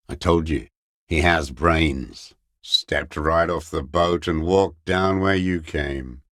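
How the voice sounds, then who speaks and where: Game role: aged revolutionary holdout, deep-voiced, very slow delivery, scornful, weary and unrepentant. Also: male voice, a bit bright, no room sound, studio quality. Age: older. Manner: contemptuous, ideological, slow and weary. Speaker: video game character actor. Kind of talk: dramatic game voice acting, bitter monologue.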